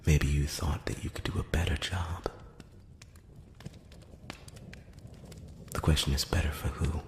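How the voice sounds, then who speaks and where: A man voicing a solemn, probing elf emissary in a breathy whisper, speaking formally and deliberately in a close-up ASMR fantasy roleplay. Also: extremely low pitch, flat delivery, reverberant, slight background noise. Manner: slow, deliberate, formal, with long pauses. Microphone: close-up microphone.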